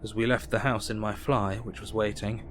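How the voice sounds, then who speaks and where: An actor playing an earnest, troubled Victorian doctor, narrating his diary with a measured, formal, reflective manner. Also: fairly low pitch, little pitch movement, background noise, lightly acted. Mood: measured, puzzled. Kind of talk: audio drama narration.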